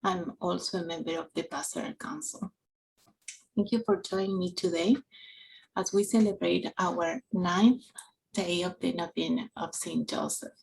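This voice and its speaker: A woman's voice: lowish voice, steady tone, gravelly, a little hiss or hum, rough recording. A woman in her forties from Peru with a Peruvian Spanish accent, speaking English as a second language while giving an earnest personal reflection for a church novena. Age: 40s. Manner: slow, earnest and halting, with soft whispered stretches. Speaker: parish council member giving a reflection.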